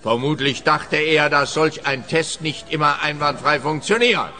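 Radio-play cop, male, forceful bright voice on the high side, officious, curt, condescending. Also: measured pace. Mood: condescending, certain. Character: gruff, dismissive, self-assured male police officer.

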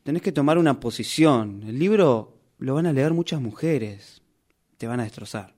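A man's voice: medium pitch, expressive, normal speed, a little mellow, natural voice. An actor with a Rioplatense Argentine accent playing a defiant, indignant character from a novel who argues with his author, in a radio play rehearsal. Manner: argumentative, reproachful.

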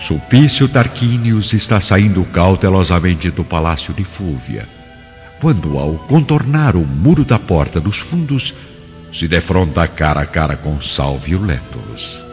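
A man's voice: low-pitched, sing-song, a little slow, a little mellow. A man with a Brazilian accent narrating a radio soap in a solemn, dramatic, scripted style. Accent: Brazilian Portuguese. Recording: reverberant, dull lo-fi, music behind.

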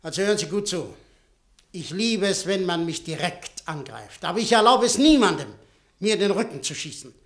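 A man's voice: sits high, expressive, normal speed, fairly bright tone. A man playing a blunt wealthy industrialist in a radio drama, curt and sarcastic.

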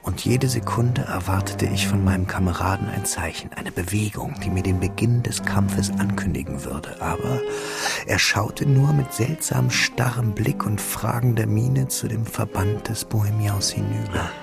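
An actor narrating a literary radio drama as a reflective, wistful man looking back on his schooldays, measured and solemn. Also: lowish voice, creaky, over loud music. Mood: tense, wistful.

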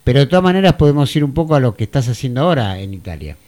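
A man's voice: mid-range voice, very animated, rapid-fire, darkish tone. A man with an Argentine accent interviews his guest, asking long, earnest questions. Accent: Argentine Spanish. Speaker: interviewer, university teacher. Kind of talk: radio-style interview.

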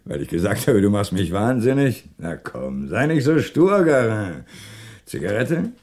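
An actor playing a shrewd, teasing police inspector in a German radio crime drama, brisk and commanding. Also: male voice, fairly low pitch, big pitch swings, darkish tone.

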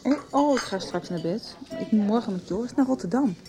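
A woman's voice: fairly high pitch, animated, brisk, music in the background, close to an everyday voice. An actress playing an easygoing, friendly young woman in a Dutch radio soap. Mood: casual. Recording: background chatter.

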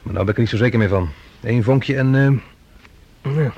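An actor playing an amiable, inquisitive academic delegate in conversational radio play dialogue. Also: male voice, medium pitch, a little fast, a little mellow, breathy edge, dull audio. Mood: relaxed, curious.

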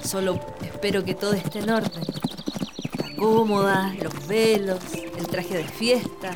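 A woman playing a young wealthy lady in a radio drama, tender and affectionate, with expressive, sighing delivery. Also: mid-range voice, measured pace, close to an everyday voice. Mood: nostalgic. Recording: loud music behind radio drama dialogue.

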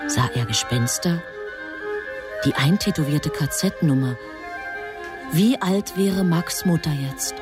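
A woman's voice: low voice, takes it slowly, pauses often. A woman performing as the grave, composed narrator of a literary radio drama. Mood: grave, tense. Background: music.

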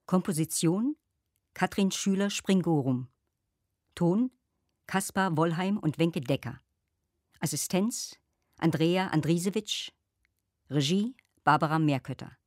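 A woman reads scripted credits as a radio announcer. Her voice is a little low, recorded dry with no room around it. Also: unhurried, long pauses, darkish tone, dry recording.

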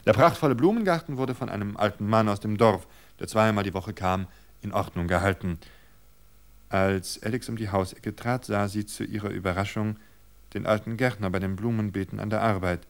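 A man with a fairly low voice narrates a literary story for radio. His delivery is scripted storytelling, read as a narrator. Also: fairly quick.

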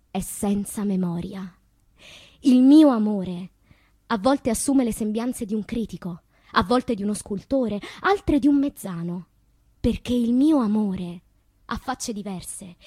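An actress playing a tormented, wistful woman poet in a theatrical monologue, dramatic and deliberate, with a breathy, husky voice. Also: a little high, no echo, full character voice. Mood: bitter, anguished, then impassioned. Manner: dramatic, slow deliberate delivery with hushed passages. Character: tormented woman poet recalling destructive loves, wistful and intense.

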